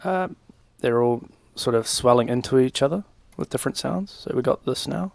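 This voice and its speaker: A young man giving a casual, informal software tutorial, talking through each step in a laid-back, slangy way at a desk microphone. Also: medium pitch, moderate pace, creaky, a little hiss or hum. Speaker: music production tutorial presenter. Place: small home room, indoors. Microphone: desk microphone on a boom arm.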